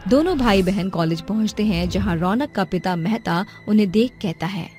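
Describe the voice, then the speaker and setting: A woman's voice: mid-range voice, moderate pace, mellow. Woman storyteller narrating with music behind. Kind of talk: dramatic scripted storytelling. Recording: music under the voice.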